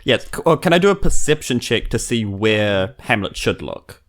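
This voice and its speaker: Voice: medium pitch, sing-song, moderate pace. A player with a New Zealand accent chatting casually and playfully during a tabletop game. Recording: faint music under the voice.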